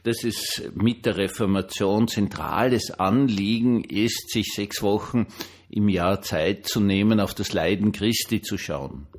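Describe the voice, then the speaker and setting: A man's voice: fairly low pitch, measured pace. An older man with a Viennese Austrian accent, speaking as a pastor in an informal, hesitant but emphatic personal monologue. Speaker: pastor and online diary host.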